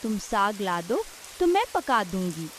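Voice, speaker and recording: A woman's voice: mid-range voice, very animated, a little slow, a bit bright, low-quality recording. A woman voicing a stubborn, bossy but homely village wife in a cartoon story, insistent and given to scolding. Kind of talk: cartoon story dialogue acting. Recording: hiss with rain and sizzle noise.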